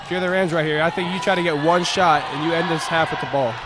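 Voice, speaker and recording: High-voiced, fast-talking young American man, very noisy gym. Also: steady tone, over a noisy crowd. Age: young adult. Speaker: colour commentator. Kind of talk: live basketball analysis. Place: indoor high school gym during a game.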